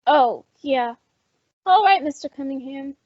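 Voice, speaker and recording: A woman's voice: high-pitched, expressive, unhurried, no room sound. A woman playing a friendly, chatty young woman in an old-time radio drama. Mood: breezy. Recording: dull, lo-fi audio.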